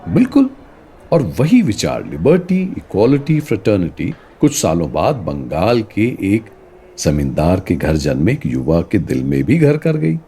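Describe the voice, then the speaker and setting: A man's voice: deep voice, measured pace, husky, full character voice. A man with an Indian accent playing an enthusiastic, encouraging teacher who explains history conversationally in a radio play. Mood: enthusiastic, explanatory. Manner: animated, encouraging, asks rhetorical questions. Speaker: teacher and storyteller.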